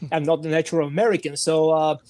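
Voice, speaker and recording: A man with a Bulgarian accent, a guest firearms instructor in a podcast interview, speaking English as a second language and pausing often with "uh". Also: a little high, flat delivery, darkish tone, dry recording. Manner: many hesitations, frequent "uh".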